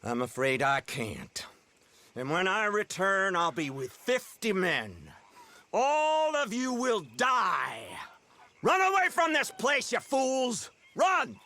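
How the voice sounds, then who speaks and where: Forceful, pompous lawman. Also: high voice, very animated, takes it slowly, heavily acted. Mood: threatening. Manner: pompous, declamatory. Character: self-righteous, menacing American lawman, scornful and formal. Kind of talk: dramatic video game acting.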